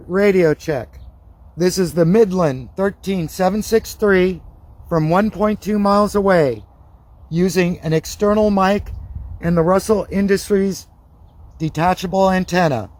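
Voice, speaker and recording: A man presenting a radio test, speaking in deliberate, repeated test phrases. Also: high-pitched, a little mellow. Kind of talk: radio check test announcements, demonstration.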